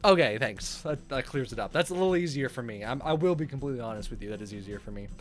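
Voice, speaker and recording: A woman's voice: a bass voice, expressive, fast, soft background music. A woman streaming a visual novel, reading it aloud and chattily commenting on the story. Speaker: game streamer.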